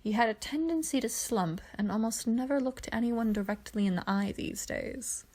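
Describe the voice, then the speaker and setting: A woman voicing a frightened, cowed male alien commander in a fan fiction reading, hoarse, pleading and stammering under strain. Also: on the high side, normal speed, a little mellow, voice pushed up, close to an everyday voice.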